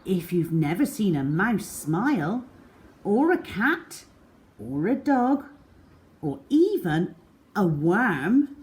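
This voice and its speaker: A woman reading a children's story aloud as a storyteller, in an expressive, deliberate manner with drawn-out words. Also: medium pitch.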